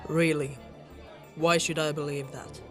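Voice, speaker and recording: A commanding male leader played by a man speaks curtly and firmly in a slightly high voice. Music runs clearly behind him in this anime-style drama. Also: little pitch movement, measured pace, close to an everyday voice. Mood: stern. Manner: curt, authoritative. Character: stern, commanding male leader interrogating a prisoner. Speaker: voice actor. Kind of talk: anime parody audio drama acting.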